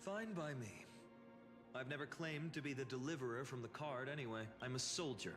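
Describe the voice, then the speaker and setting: An actor playing a stoic, resolute soldier-hero in a fantasy game, grave and measured. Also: male voice, mid-range voice, natural voice. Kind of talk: scripted fantasy game acting. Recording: long reverb, game music behind.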